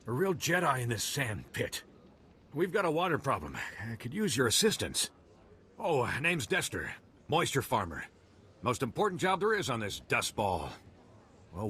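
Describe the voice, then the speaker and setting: An actor playing an earnest, worried desert moisture farmer in a video game. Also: male voice, mid-range voice, fairly bright tone, a little hiss or hum. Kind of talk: video game dialogue acting.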